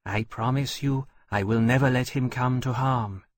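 A man plays a young male dragon in a storybook. He sounds earnest and gentle, with a husky edge and a polite, innocent curiosity. The recording is dry and close. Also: mid-range voice, fairly even intonation, normal speed, no echo, lightly acted.

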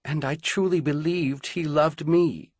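A man's voice: a little high, steady tone, measured pace, no room sound, clean studio sound, voice pushed up. A man voicing a grieving but resolute young queen in an audiobook, speaking earnestly and emphatically in formal phrases. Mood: tearful, insistent.

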